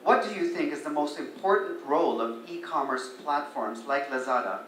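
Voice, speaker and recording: A man's voice: a little high, normal speed, a bit bright. A man interviews pageant contestants in a friendly voice, now and then adding a playful aside. His voice carries the echo of a roomy space, with a faint hiss underneath.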